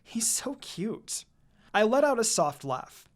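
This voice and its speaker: A woman reading a first-person fan fiction story aloud in a casual, expressive, dramatized way. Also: fairly high pitch, slow pace.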